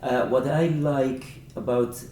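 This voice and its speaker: Mellow, halting man, slightly off-mic. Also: medium pitch, steady tone. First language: not English. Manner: halting, searching for words. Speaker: author discussing a book. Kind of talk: informal literary discussion. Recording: echoey room, distant mic, faint noise.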